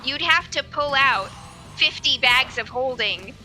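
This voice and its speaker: Very bright-voiced woman in playful debate. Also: high voice, expressive, a little slow. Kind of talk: casual banter over a game stream. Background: game music.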